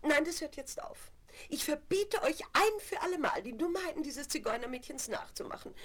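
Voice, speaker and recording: Strict high-voiced indignant mother. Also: animated, normal speed. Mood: stern, indignant. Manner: scolding, exclamatory, emphatic. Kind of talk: German children's radio play acting. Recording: 1970s vinyl radio play recording.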